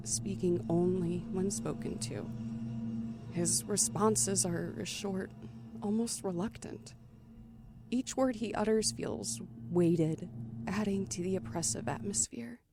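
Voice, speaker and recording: A woman playing an anxious woman trapped in an elevator with a stranger, in an audio thriller. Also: medium pitch. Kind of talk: audio thriller acting with first-person narration.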